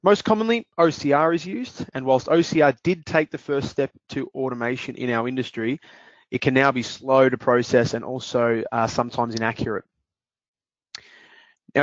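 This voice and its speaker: A man's voice: mid-range voice, dry recording. Man presenting a webinar demo. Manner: measured, explanatory, some fillers. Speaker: webinar presenter, business development manager. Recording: dry dull lo-fi audio.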